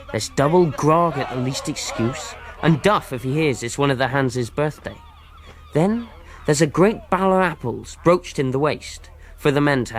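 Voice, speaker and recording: A man's voice: mid-range voice, natural voice. An actor playing an eager, naive ship's boy, plainly telling his adventure in a radio drama. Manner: youthful, plain-spoken storytelling. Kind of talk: radio drama acting and narration.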